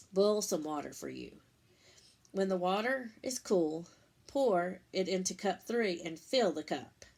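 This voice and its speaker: A woman reading a picture book to children like a teacher, in simple, deliberate phrases, pausing to explain and ask questions. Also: on the low side. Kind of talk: read-aloud storytime with explanatory asides.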